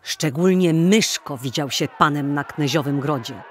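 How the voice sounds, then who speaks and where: A man narrating an old folk tale as a dramatic storyteller in a theatrical, deliberate manner. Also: a little high, fairly bright tone, a little hiss or hum.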